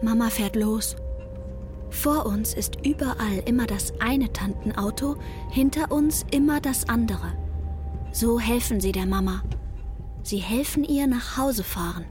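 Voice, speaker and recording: High-voiced, wry teenage girl played by a woman. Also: unhurried, lightly acted. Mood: hushed, watchful. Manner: dry, sardonic asides. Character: sharp-witted teenage daughter of a manic mother, narrating. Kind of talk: radio play first-person narration. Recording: music behind the voice.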